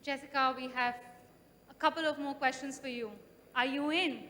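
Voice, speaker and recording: A woman moderator briskly and cheerfully calling on audience members for questions. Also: high-pitched, fairly even intonation, bright, forceful tone. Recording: reverberant hall, faint noise.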